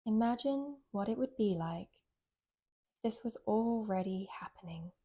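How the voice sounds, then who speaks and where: A woman leading a guided relaxation in a gentle, soothing, unhurried way with long pauses. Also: mid-range voice, animated, husky. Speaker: meditation guide, yoga nidra teacher.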